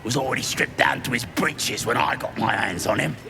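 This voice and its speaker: A man playing a dim, chatty goblin looter in a video game, with a croaky voice and an English working-class accent. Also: medium pitch, brisk, over music. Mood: cheeky, showy. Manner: chatty, uneducated. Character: dim, cheeky goblin looter with a secret soft side. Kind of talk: video game character acting.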